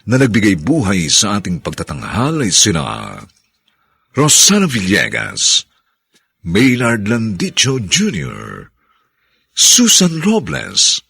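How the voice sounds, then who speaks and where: A man's voice: lowish voice, creaky, fairly bright tone, slightly rough, no room sound. A man announcing a radio drama in a formal, dramatic, scripted narrating style.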